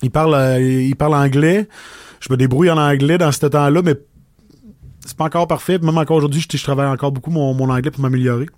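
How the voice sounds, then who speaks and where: A man with a Québécois French accent, telling his story casually as an interview guest, with many pauses and restarts. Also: a little high, fairly even intonation, darkish tone, no room sound.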